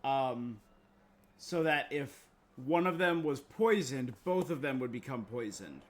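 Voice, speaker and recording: A man's voice: medium pitch, animated, measured pace. A man chatting casually with viewers as a game streamer, in a laid-back, conversational manner. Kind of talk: casual livestream chat with viewers. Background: video game music.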